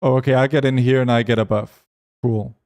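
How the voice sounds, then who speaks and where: A man streaming a game, chatting with his viewers and reading their messages aloud as he plays. His voice is mellow and dark, with a gravelly rasp at the bottom, and his pitch sits in a middle range. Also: fairly even intonation. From Europe. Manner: reads chat aloud while playing, quick asides. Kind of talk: casual gaming stream chat.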